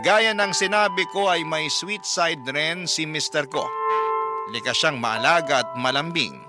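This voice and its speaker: A man's voice: on the high side, unhurried, loud music behind the voice, close to an everyday voice. A man reading a young mother's letter in the first person, narrating in a confiding, reflective way. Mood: wistful. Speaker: letter narrator in a radio drama.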